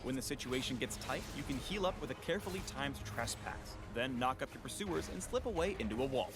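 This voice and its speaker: A man's voice: medium pitch, expressive, fairly quick. A man delivering scripted explainer narration for a video game spotlight. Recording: clear music behind voice.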